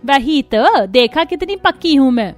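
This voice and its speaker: Woman voices domineering, self-satisfied mother-in-law for family cartoon; higher pitch, faint music behind. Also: big pitch swings, measured pace. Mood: proud. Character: bossy, stubborn, proud mother-in-law. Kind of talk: Hindi cartoon story acting.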